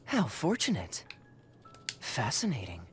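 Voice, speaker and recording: An actress playing a cool, composed video game character in a hushed, measured manner. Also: female voice, very deep voice, huge pitch swings, slight background noise, full character voice. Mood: quietly intrigued.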